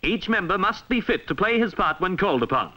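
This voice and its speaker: A man with an Australian accent reading a scripted 1960s instructional film narration in a formal, measured, emphatic way, on a vintage film soundtrack. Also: high voice, sing-song, sharp tone.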